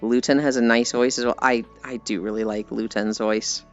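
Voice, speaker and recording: A man with an American accent talks casually to his livestream audience in a clean, smooth voice. He rambles and pokes fun at himself with the odd swear word. The audio is a little muffled, and music plays faintly behind him. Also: medium pitch, level tone, moderate pace. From United States. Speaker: livestreamer chatting with viewers. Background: background music.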